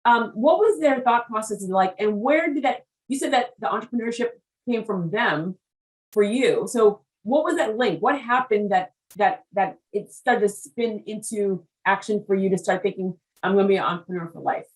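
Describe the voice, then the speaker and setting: A woman hosting an interview, chatty and animated, often repeating words as she jumps in. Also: mid-range voice, normal speed, recorded from a distance, rough recording. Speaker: interview host. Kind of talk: casual conversational interview.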